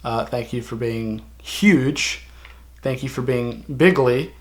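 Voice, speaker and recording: A man hosting a casual fan discussion, speaking in a halting, rattled and intense way. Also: mid-range voice. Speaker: video host.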